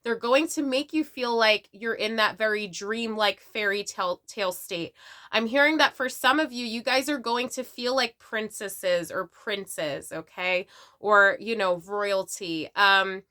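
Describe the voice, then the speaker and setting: A woman's voice: mid-range voice, measured pace, pushed and bright. A woman giving a casual tarot reading, bubbly and chatty, often tagging her lines with "okay?", a little sick and sniffly. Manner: bubbly, tags lines with "okay?" and "all right?". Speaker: tarot reader.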